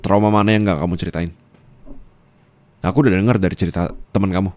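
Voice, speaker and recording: A young man with a very low, deep voice, talking close and quiet in an ASMR roleplay. He scolds like a let-down boyfriend, hushed and heavy, and at times his voice climbs hard into anger. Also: steady tone, fast. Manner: low, intimate, scolding, rising to angry outbursts.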